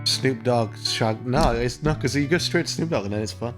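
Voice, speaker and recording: A man's voice: mid-range voice, normal speed. A man hosts a loose, comedic let's play with guitar music behind him. He riffs on the game's dialogue in an exasperated, sweary way. His delivery is casual, slipping between reading aloud and complaining. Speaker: let's player, video host.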